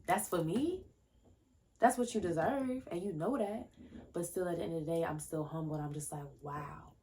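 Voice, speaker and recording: Low-voiced young woman rambling on a podcast. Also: normal speed, warm tone, a little hiss or hum. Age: 20s. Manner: rambling, jumps between tangents; loose, chatty, many fillers and restarts. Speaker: podcast host. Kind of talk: casual solo podcast talk. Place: at home, indoors. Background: faint fireworks popping.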